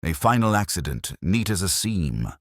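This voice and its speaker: A man voicing a mild, shrewd police inspector in a mystery story, with deliberate, theatrical phrasing. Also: sits low, very animated, dry recording, clean studio sound. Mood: calmly accusing. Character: mild, shrewd male police inspector, calmly exposing culprits. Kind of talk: dramatised mystery story dialogue.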